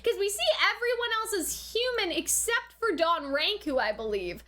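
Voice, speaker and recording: A woman's voice: very high-pitched, big pitch swings, moderate pace, pushed and bright. A woman giving casual, chatty review commentary as a reaction host, often checking in with informal tag questions. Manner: chatty, informal, often tags sentences with "right?" and "you know".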